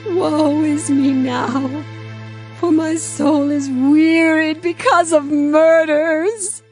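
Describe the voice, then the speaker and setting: A woman playing a weary, anguished daughter of Zion, wailing in drawn-out phrases in a dramatized scripture reading. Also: high-pitched, steady tone, a little mellow, soft background music, full character voice. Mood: despairing.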